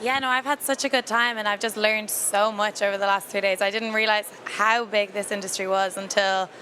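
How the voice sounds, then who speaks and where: Young Irish female student, bright and gushing. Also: mid-range voice, steady tone, brisk, slight background noise. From Dublin, Ireland. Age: young adult. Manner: bubbly, gushing.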